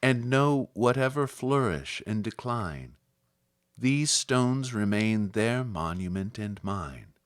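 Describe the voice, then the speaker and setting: Slow, measured man reciting poetry. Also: fairly low pitch, animated, no room sound, clean studio sound. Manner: measured, solemn delivery with deliberate phrasing. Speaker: poetry reader.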